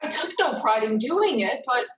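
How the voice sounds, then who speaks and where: A woman playing a young survivor burdened by shame, speaking earnestly and haltingly in a staged play reading. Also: fairly high pitch, expressive, lightly acted. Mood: ashamed.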